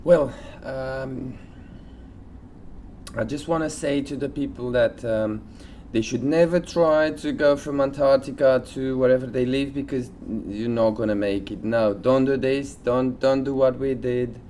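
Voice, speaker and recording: A man co-hosting a casual podcast, speaking in loose, slightly halting phrases. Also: medium pitch, warm tone, a little hiss or hum. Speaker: podcast co-host.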